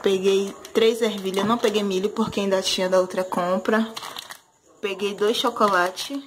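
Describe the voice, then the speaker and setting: Woman, 30s, from Brazil, casual grocery vlog, phone in kitchen, noticeable background noise, medium pitch. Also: steady tone, moderate pace. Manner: chatty, listing items with fillers like "né". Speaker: vlogger. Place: home kitchen, indoors. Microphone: handheld phone camera. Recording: noisy home phone recording.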